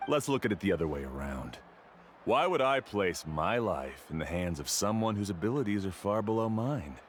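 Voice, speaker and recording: An actor playing an arrogant, dismissive guide-for-hire in a video game, with a haughty, scornful manner. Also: male voice, sits low, big pitch swings, normal speed, a little hiss or hum. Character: arrogant, dismissive, mercenary male island guide.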